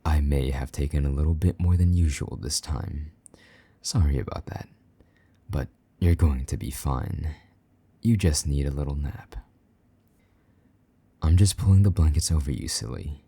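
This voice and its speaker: A man voicing a possessive, jealous vampire knight in an intimate audio roleplay, speaking in a low murmur that drops into soft whispers, teasing and a little gruff. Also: a little slow, pauses often, no room sound, exaggerated voice. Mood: tender.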